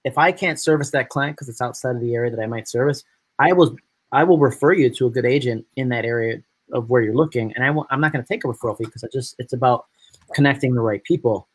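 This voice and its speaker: Chatty host guy from upstate new york, dry close sound, animated. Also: medium pitch, quick pace, slightly rough, no echo, rough recording. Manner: chatty, animated, piggybacks on guests. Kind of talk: casual live video chat.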